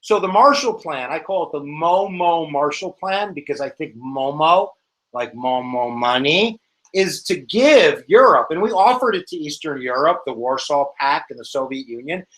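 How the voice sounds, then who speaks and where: A man with an American accent teaching a casual, joking exam review lesson in a slangy, animated way. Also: a little high, moderate pace, low-quality recording. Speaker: history teacher.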